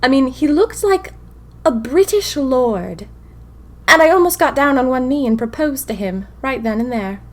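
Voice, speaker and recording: A woman's voice: sits high, voice pushed up. Wry, whimsical young typist played by a woman. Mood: intrigued, smitten. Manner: wry, expressive, dramatic pauses. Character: whimsical, bored young 1920s typist confiding in her diary. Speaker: narrator of a fiction podcast. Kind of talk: first-person diary audio fiction narration.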